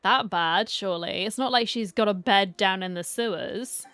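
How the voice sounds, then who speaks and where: A woman's voice: mid-range voice, moderate pace, pushed and bright. A woman gaming streamer giving a casual, chatty live reaction, talking back to the characters.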